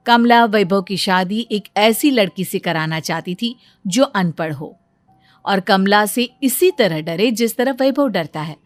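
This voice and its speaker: A woman narrates a scripted cartoon tale as storyteller. Her voice sits at a medium pitch, with faint music behind it. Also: no room sound.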